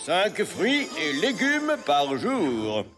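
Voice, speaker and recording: An actor playing a muscular strongman hero who urges a boy on with grandiose, drawn-out exhortations in a French cartoon. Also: high-pitched, wildly swooping pitch, heavily acted. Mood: commanding. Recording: music behind the voice.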